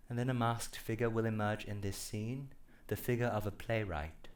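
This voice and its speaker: A man's voice: on the low side, fairly even intonation, moderate pace. A man performing a poetic monologue live on a theatre stage before an audience. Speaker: performance artist.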